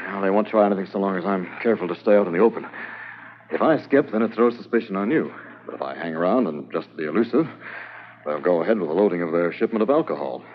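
An actor playing a steady, cool-headed Mountie sergeant who speaks in an understated way, in 1950s radio drama style. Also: male voice, deep voice, quick pace. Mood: calm. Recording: dull lo-fi 1950s radio transcription with hiss.

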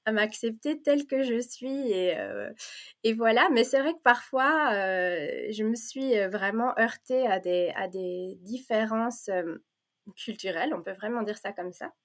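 A woman from Provence who grew up in Belgium speaks as a guest in an easy conversation. She pauses often, fills gaps with small sounds and restarts her sentences. Her tone stays earnest and heartfelt. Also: a little high. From born in Provence, raised in Belgium. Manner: hesitant, many fillers and restarts, warm and earnest. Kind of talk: casual conversation.

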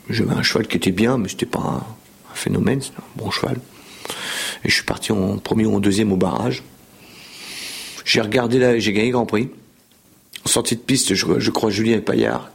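Man in his fifties, raspy with some vocal fry, chatty interview guest who rambles. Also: lowish voice. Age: 50s. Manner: rambling, repeats words, many fillers. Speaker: show jumping rider. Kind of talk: casual interview storytelling.

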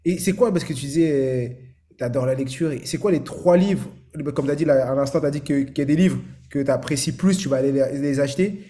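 Affirming podcast host man, warm tone. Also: medium pitch, fairly quick. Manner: affirming, frequent "d'accord". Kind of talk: casual interview conversation.

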